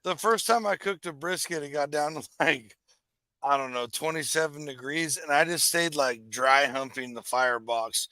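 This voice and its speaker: A brash man with a gravelly, bright, slightly raised voice tells crude stories in casual chat. The sound is dry and close. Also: a little high, normal speed, no room sound. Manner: brash, crude storyteller, laughs.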